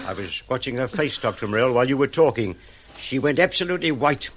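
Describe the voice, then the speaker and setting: A man's voice: mid-range voice, animated, quick pace, a little hiss or hum, natural voice. A man playing a dry, watchful police inspector in an old-fashioned radio mystery. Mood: brisk.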